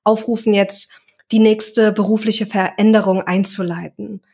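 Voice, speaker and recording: A young woman speaks in a calm, reflective way as an interview guest. She talks about her work in an even, conversational tone. Now and then she stops to search for a word. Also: mid-range voice, unhurried, breathy edge. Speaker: guest, self-employed coach. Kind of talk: casual interview talk.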